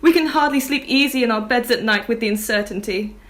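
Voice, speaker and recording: A woman's voice: on the high side, a little fast, a bit bright, close to an everyday voice. A woman playing a melodramatic, self-pitying upper-class wife in an amateur audio drama. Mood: theatrical.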